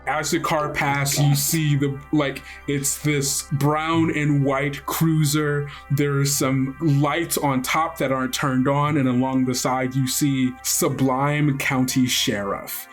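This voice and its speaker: A man's voice: mid-range voice, level tone, slow, hardly any pauses, faint music behind. Man narrating a tabletop game. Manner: informal, improvised narration. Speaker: game master.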